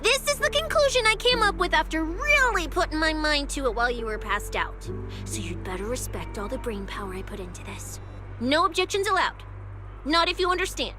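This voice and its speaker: An actress playing a cute, bossy young female specialist in a video game, playfully haughty and expressive. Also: high-pitched, brisk. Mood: earnest, bossy. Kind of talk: dramatic video game voice acting. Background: music.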